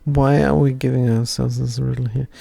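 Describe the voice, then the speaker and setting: A man's voice: medium pitch, flat delivery, moderate pace, creaky, dark tone, dry recording. A man from Germany with a German accent, thinking aloud as he codes live and chats with his viewers in a wry, self-deprecating way. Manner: self-deprecating, wry, mutters half-finished lines. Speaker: live-streaming coder. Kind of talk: thinking aloud while coding, chatting with viewers.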